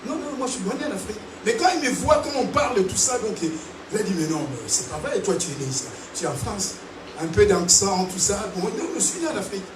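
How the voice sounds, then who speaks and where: A man from Africa preaching a sermon to a congregation, animated and calling out to them. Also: sits high, a little fast. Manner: animated preaching with call-and-response. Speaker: pastor. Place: large church hall. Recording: echoey hall, distant mic, noisy.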